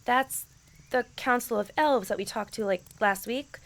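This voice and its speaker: A young woman playing a skeptical, down-to-earth teenage high schooler in a fantasy audio drama, casual, hesitant and questioning. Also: a little slow, fairly bright tone. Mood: skeptical, uneasy.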